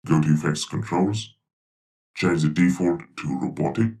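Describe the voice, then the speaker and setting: An extremely deep robot delivers deliberate tutorial instructions. The voice is crackly and processed with a robotic delay effect, sounding set back from the mic over music. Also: male voice, creaky, a little mellow, far from the mic. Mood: instructive. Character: instructive robot speaking for a video channel. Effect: robotic pitch-lowered voice with flanger and delay.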